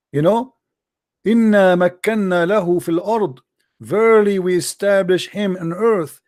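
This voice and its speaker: A man's voice: high voice, a little mellow, no room sound, studio quality. A man whose first language is Arabic, hosting a heated debate with a caller and arguing insistently as he talks over him. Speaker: host.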